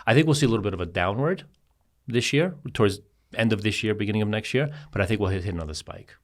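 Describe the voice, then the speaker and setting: Business guest from Greece, man, deep voice, quick rambling delivery with restarts. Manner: rambling, repeats words, quick asides. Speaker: scooter dealership chief executive, guest. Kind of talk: casual podcast interview.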